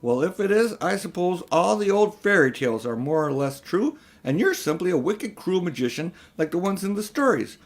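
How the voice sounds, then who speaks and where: A man's voice: high-pitched, sing-song, moderate pace, few pauses, voice pushed up. A man voicing a brave, indignant young schoolboy in a children's audiobook, talking bluntly and defiantly. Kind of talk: children's audiobook character reading.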